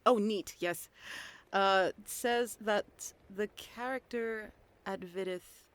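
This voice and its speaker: A woman's voice: mid-range voice, slow pace, clear, resonant tone. Bubbly, giggly young woman host. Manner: bubbly, giggly, reads out listener questions. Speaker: interviewer, host. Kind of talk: casual interview chat.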